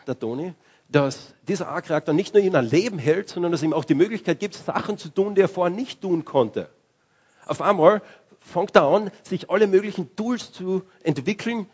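A man's voice: on the high side, darkish tone, husky, muffled recording. A man preaching a sermon in a conversational way, often restarting and searching for words.

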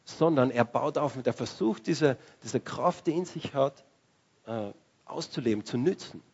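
A man preaches in a loose, conversational way, often restarting his sentences. His voice is husky and sits at a middle pitch. The recording is dull and lo-fi. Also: lively intonation, normal speed, a little mellow. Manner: conversational, with restarts and searching for words. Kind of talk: sermon.

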